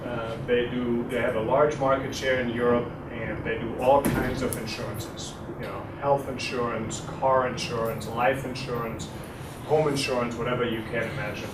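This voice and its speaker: A middle-aged man from Germany with a German accent, giving a relaxed, hesitant university guest lecture and quizzing the audience. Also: medium pitch, moderate pace. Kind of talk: university talk with audience questions. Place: lecture room. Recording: echoey room, distant, noisy.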